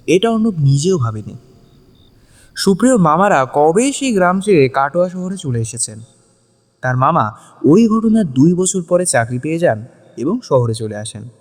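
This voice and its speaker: A man's voice: on the high side, big pitch swings, normal speed, a little mellow. A man narrating a scripted story in a dramatic style, as in an audio drama. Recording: long hall reverb on voice. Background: music.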